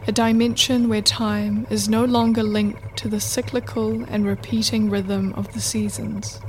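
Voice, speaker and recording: A woman reading scripted narration for a radio play in a measured, deliberate way. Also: medium pitch, little pitch movement, a little mellow, slight background noise.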